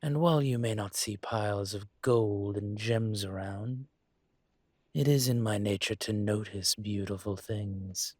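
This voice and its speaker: A man playing a courtly, possessive dragon mafia boss in an intimate audio roleplay, speaking in a suave, teasing, formal way with a husky voice. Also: fairly low pitch, measured pace, darkish tone, no room sound, studio quality. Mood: suave, flirtatious. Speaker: voice actor.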